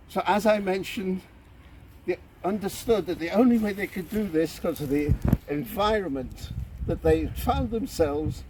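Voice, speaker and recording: A man in his seventies from Manchester with a British accent, giving a measured, storytelling guided talk about kibbutz life. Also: a little high, a little mellow, slight background noise, low-quality recording. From England. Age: 70s. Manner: slow, measured, storytelling delivery. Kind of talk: unscripted guided explanation to camera. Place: outdoors on a kibbutz.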